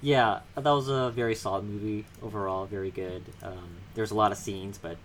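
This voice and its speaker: A man with a fairly low voice speaks as a podcast co-host in casual film chat. He is low-key and halting, offering short remarks, with faint noise behind him. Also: steady tone, low-quality recording. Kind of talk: casual chat about films.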